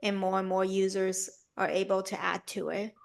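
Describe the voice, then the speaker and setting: A woman's voice: mid-range voice, flat delivery, normal speed, creaky. A woman hosting a podcast interview, affirming and curious as she asks questions. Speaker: podcast host. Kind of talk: interview chat.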